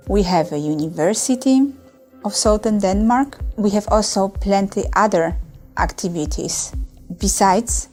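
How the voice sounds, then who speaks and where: A woman's voice: mid-range voice, darkish tone. A woman speaking in a loose, unscripted way, with hesitations and non-native phrasing, about her working life in Denmark. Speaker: foreign worker in Denmark. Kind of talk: testimonial interview.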